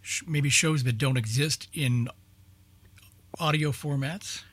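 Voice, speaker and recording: A man talks informally in an easygoing exchange. His voice is husky and mid-pitched, recorded dry with very little room around it. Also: slow, a bit bright, dry recording. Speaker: old-time radio show participant discussing lost shows. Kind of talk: casual chat.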